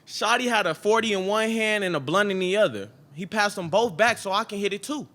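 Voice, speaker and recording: A man's voice: high-pitched, a little fast, bright, forceful tone. A man performing a slangy, emotional stage monologue as a scared young street kid. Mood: animated storytelling. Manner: slangy, emotional storytelling, acting out each speaker. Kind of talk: dramatic spoken monologue on stage. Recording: piano music playing behind the voice.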